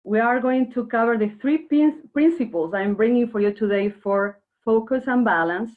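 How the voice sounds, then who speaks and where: A woman's voice: fairly high pitch, normal speed. Argentine lady running a webinar, earnest, talks straight to you, phone-thin sound. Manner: earnest, explanatory, addresses the audience directly. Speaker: workshop presenter. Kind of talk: webinar presentation. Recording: narrow phone-like online call audio.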